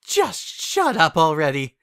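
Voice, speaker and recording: A man's voice: a little high, huge pitch swings, slow, a bit bright, pitched above the performer's own voice, heavily acted. A man voicing a prim, dramatic female unicorn pony with a haughty, theatrical manner in a story reading. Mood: pained, indignant.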